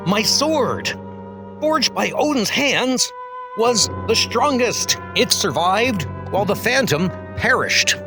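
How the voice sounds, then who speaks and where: A man's voice: high voice, pitched above the performer's own voice, exaggerated voice. A man voicing a brave Norse warrior god who declaims in archaic, ringing phrases in a comic dub. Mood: triumphant. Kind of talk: superhero comic dub acting.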